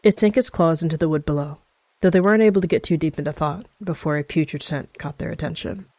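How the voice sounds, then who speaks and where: A woman's voice: sits low, quick pace, a little mellow, dry recording. A woman reading scripted story narration as a storyteller.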